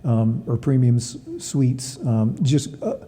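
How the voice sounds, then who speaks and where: A man's voice: mid-range voice, takes it slowly, dark tone, slight background noise. A man giving conversational interview answers as an athletic director, often repeating words as he explains. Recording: long reverberant room sound.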